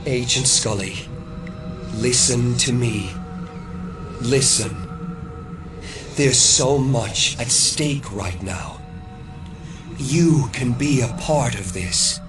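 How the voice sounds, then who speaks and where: A calmly persuasive young psychic schemer in an audio drama. He speaks slowly and deliberately, with ominous earnestness and control, over prominent dramatic music. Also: medium pitch. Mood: coaxing, intense.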